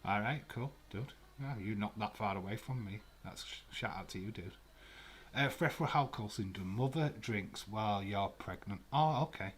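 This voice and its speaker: A man's voice: on the low side, normal speed, slight background noise. Chatty Glaswegian man streaming live. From Scotland. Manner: rambling and chatty, with frequent fillers and restarts. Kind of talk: casual watch-along chat, reading out viewer comments. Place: room at home.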